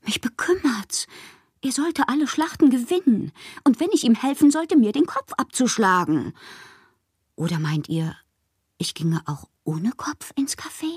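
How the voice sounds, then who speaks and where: An actress playing a passionate, eccentric bohemian poetess, reading fanciful letters in a theatrical radio drama monologue with a breathy voice. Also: female voice, a little high, very animated, a little slow, darkish tone, dry recording, clean studio sound, heavily acted. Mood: earnest, troubled. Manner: theatrical, dreamy, often slipping into breathy whispers. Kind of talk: dramatic literary monologue in a German radio play.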